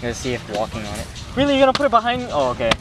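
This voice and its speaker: A man's voice: medium pitch, huge pitch swings, racing, recorded from a distance, noisy background. A young man joking and swearing with friends outdoors on a beach, recorded by a handheld camera. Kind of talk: casual chat with friends at the beach.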